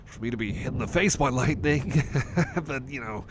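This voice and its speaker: A man's voice: medium pitch, animated, moderate pace, background noise, lightly acted. A man playing a shy, anxious, apologetic cyborg friend in an ASMR roleplay, speaking in a hesitant, nervous stammer. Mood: nervous, spooked. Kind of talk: ASMR roleplay, personal attention.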